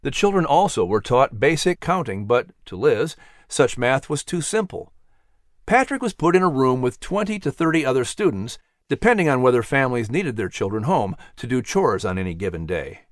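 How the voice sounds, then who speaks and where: A man's voice: medium pitch, very animated, moderate pace, no room sound, studio quality. A man giving scripted narration of a children's audiobook as the storyteller.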